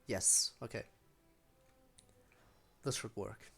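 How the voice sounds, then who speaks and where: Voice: medium pitch, drawn-out words, gravelly, bright, forceful tone. Casual game streamer with a mid-range voice. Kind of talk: casual live gameplay commentary.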